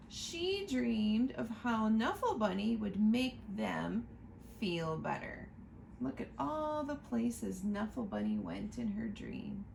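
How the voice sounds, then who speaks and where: Slow, gravelly-voiced woman reading a picture book. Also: a little high, slight background noise, poor audio. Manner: slow, expressive storytime reading, asking listeners to look at pictures. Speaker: librarian reading aloud. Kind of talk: picture book read-aloud for children.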